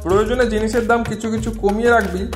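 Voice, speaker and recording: A man's voice: high voice, steady tone, racing, soft background music, close to an everyday voice. A man voicing a sly, meddling elder-brother figure who gives crooked advice in a prodding, persuasive way in a cartoon. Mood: scheming. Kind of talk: moral-story cartoon voice acting.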